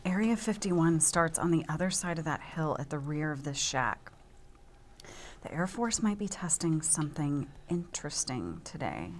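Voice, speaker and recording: A woman playing a tough, all-business forensic team leader of about forty, brisk and commanding, at a table read. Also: deep voice, animated, slight background noise. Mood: businesslike.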